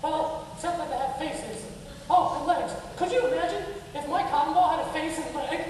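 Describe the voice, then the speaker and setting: In a very echoey hall, far from the mic, comes a giddy puppet boy played by a woman. The voice is high and childlike, gushing naively through everything. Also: normal speed, a little mellow, a little hiss or hum, close to an everyday voice. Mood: wide-eyed, enthusiastic. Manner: gushing, giddy, wide-eyed rambling. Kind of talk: children's church puppet show dialogue.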